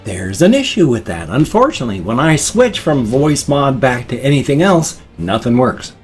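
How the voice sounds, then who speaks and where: A man's voice: mid-range voice, sing-song, moderate pace, soft background music. A man in his fifties vlogging from home at his desk mic, rambling and laughing at himself. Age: 50s. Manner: rambling, self-deprecating, chuckling. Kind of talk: casual chat. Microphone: desk microphone.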